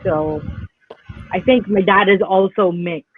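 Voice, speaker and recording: A woman's voice: mid-range voice, a little slow, breathy edge, no room sound. A woman with a Filipino accent speaking as a guest in a casual video call, chatty and mixing English and Tagalog. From Philippines. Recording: tinny dry phone-like call audio.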